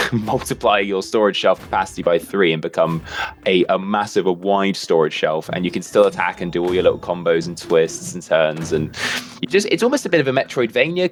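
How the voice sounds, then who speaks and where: A man co-hosting a livestream, chatting enthusiastically over gameplay with lots of fillers and restarts. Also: low-pitched. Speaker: livestream co-host. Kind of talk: casual chat, commentary over gameplay.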